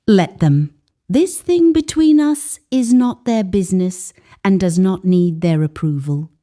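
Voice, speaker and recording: A woman voicing a young man in a folktale, a firm, protective suitor who speaks quietly, definitely and deliberately. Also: on the low side, animated. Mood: steady, reassuring.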